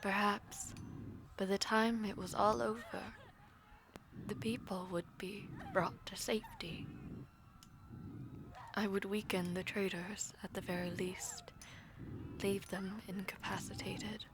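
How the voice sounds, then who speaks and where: A woman playing a proud, powerful mage villainess in an audio drama, speaking in a formal, imperious and deliberate manner. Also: mid-range voice, little pitch movement, noisy background. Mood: resigned, sorrowful, hushed. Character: proud powerful female mage, commanding, vengeful, self-sacrificing villainess. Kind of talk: dramatic audio roleplay acting.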